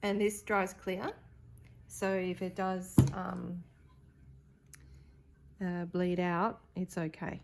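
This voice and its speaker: A woman with a croaky voice hosting a casual painting tutorial, chatting and thinking aloud as she works. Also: lowish voice, completely flat delivery, takes it slowly, long pauses, slight background noise. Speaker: art tutorial host.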